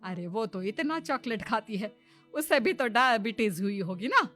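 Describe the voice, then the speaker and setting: A nosy middle-aged mother-in-law from a cartoon story, chatty and conspiratorial, as a woman puts her on. Her voice sits a little high and swoops lively up and down. Faint music plays underneath. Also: normal speed. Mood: confiding.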